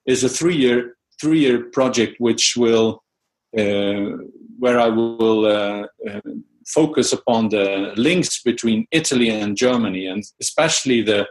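A man's voice: mid-range voice, slow, poor audio. Hesitant Dutch-native man, mid-range voice. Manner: hesitant, many fillers and restarts. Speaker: music researcher, interview guest. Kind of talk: casual interview answers.

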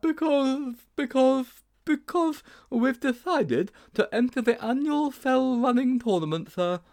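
A man plays an anxious little schoolboy from a comic storybook, pitched very high and swooping about. He dithers, hesitates and drags out his words in a fretful way. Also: very animated, a little mellow, heavily acted. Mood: flustered. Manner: hesitant, drawn-out, fretful delivery.

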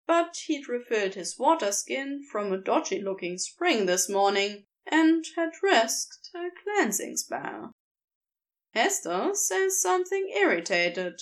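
Slow expressive woman narrating. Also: a little high. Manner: measured expressive storytelling. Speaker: podfic reader. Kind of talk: fanfiction audiobook narration.

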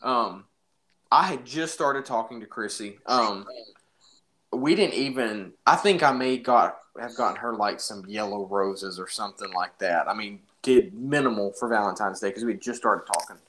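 A man's voice: fairly low pitch, moderate pace, fairly bright tone. A young man casually telling an anecdote in an informal, jokey, exaggerating way. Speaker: storyteller in a podcast-style phone chat.

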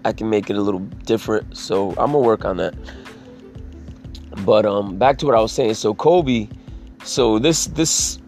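A man with a raspy voice talking in a casual, rambling monologue, swearing freely and leaning on "you know" as he restarts his sentences. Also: lowish voice, normal speed, darkish tone, soft background music. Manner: profane, filler-heavy, restarts sentences.

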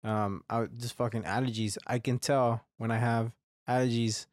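A man's voice: mid-range voice, flat delivery, normal speed, no room sound, clean studio sound. A young man hosting a solo podcast, rambling and swearing a lot, with a slightly congested, phlegmy throat. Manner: rambling, heavy swearing, frequent restarts. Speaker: podcast host. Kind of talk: casual solo podcast monologue.

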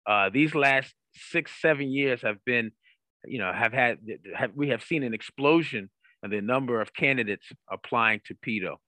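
A man's voice: mid-range voice, normal speed, sharp tone, no room sound. A man hosting a career coaching podcast in an upbeat interviewing style, emphatic and given to repeating phrases.

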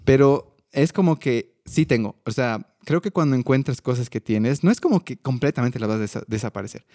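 A man, hosting a casual podcast chat and talking about coaching in an animated, laughing way. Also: medium pitch, fairly quick, no echo, clean studio sound.

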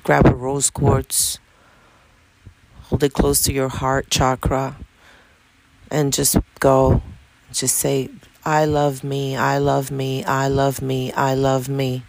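A woman of fifty-eight speaks with a New York accent and plenty of gravelly fry in her voice. She gives informal, motivational talk straight to the listener. Her delivery is emphatic, with short phrases repeated like mantras. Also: very deep voice, flat delivery, slow, long pauses, a little mellow. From Dominican Republic, raised in Washington Heights, New York City. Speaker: spiritual self-help vlogger.

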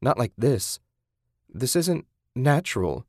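A man acts out a captive woman in a horror audiobook, raised well above his own pitch. She is frightened yet defiant, with a strained, whining edge of protest. The recording is dry. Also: very animated, slow, dry recording. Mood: desperate pleading.

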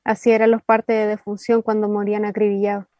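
Woman acting tender young Chilean woman, warm dark timbre, close dry sound, emotive, haunted, radio play. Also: medium pitch, completely flat delivery, fast, no echo, lightly acted. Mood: grieving. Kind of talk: radio drama dialogue.

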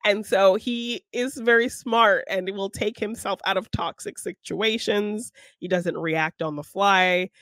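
A man's voice: high-pitched, steady tone, a little slow, few pauses, pushed and bright, dry recording, clean studio sound. A man co-hosting a podcast, talking through films in a casual, conversational style. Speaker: podcast co-host. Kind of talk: casual film discussion.

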